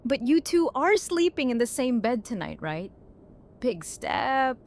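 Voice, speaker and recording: A woman's voice: sits high, big pitch swings, unhurried, heavily acted. A woman playing a tough, grieving young survivor in an audio drama, speaking in a blunt, weary way. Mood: teasing. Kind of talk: fan audio drama acting.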